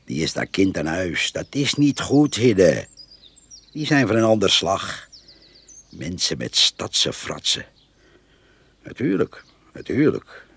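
An actor in a radio play playing a grumbling, plain-spoken and suspicious farmer with a gravelly, husky voice. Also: male voice, low voice, fairly bright tone, full character voice.

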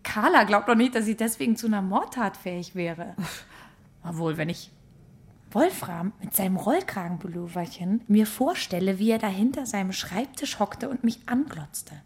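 A woman's voice: medium pitch, animated, normal speed, natural voice. An actress playing a fearless, cool, scheming woman in a radio play, with a blunt, mocking manner. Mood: mocking amusement. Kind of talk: radio play acting in German.

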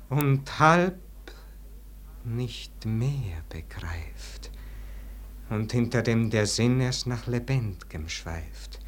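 A melancholy, disillusioned nobleman speaks in a medium-pitched voice. He declaims radio-play verse extremely slowly and solemnly, in a grand theatrical manner that swells and sinks into hushed resignation. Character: weary, disillusioned nobleman brooding over an unlived life. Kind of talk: classic German radio verse drama, declamatory stage delivery.

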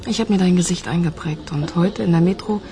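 A woman playing a forthright, seductive character in a German radio crime drama, speaking in a calm, flirtatious way. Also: lowish voice, brisk, crowd noise, lightly acted. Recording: music playing behind the voice.

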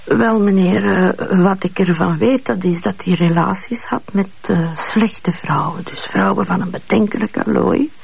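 Husky, bitter, evasive Flemish widow. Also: female voice, medium pitch, normal speed, few pauses, darkish tone, no echo, full character voice. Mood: guarded, disdainful. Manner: hesitant, evasive, repeating short answers. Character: cool, evasive, bitter widow of a murdered man. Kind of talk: police interrogation scene in a radio drama.

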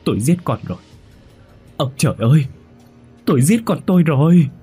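A man's voice: a little high, sing-song, moderate pace, warm tone, breathy edge, faint music behind, pitched above the performer's own voice, heavily acted. A man doing a sobbing, broken father. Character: distraught father sobbing over his son.

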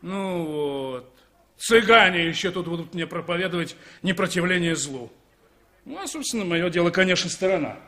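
An actor in a 1960s Soviet radio play as a self-important, pushy car owner, pompous and officious. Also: male voice, sits high, animated, normal speed, bright, forceful tone. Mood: indignant.

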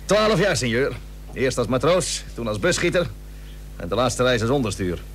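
Modest young sailor with swooping pitch. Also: male voice, big pitch swings, normal speed. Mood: modest, good-humoured. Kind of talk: Dutch radio play acting. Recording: old radio play, faint hum.

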